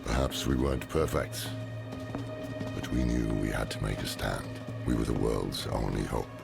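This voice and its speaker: Very deep, gravelly weary veteran. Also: male voice, moderate pace, exaggerated voice. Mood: solemn, reflective. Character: world-weary veteran male scholar-warrior in dark fantasy. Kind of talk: dramatic video game dialogue and narration. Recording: dramatic game music underneath.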